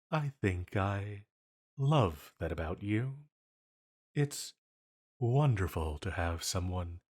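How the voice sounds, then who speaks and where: A man voicing a slow, deep ancient villain. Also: heavily acted. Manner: slow, deliberate, theatrical, formal phrasing with pauses. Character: ancient banished villain entity, male, elegant, menacing yet fond. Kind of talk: scripted intimate audio roleplay.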